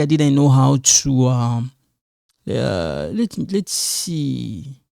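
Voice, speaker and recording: A man's voice: a little high, creaky, a little mellow, no echo, studio quality. A man who is not a native English speaker teaching an English grammar lesson in a casual, repetitive way, often checking in with "all right?". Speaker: ESL teacher. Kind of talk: casual classroom explanation.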